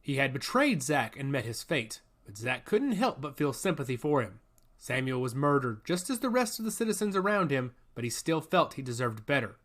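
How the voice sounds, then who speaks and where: A man's voice: medium pitch, very animated, moderate pace, a bit bright. A man reading scripted fiction as a narrator in a dramatic storytelling style. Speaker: audiobook narrator.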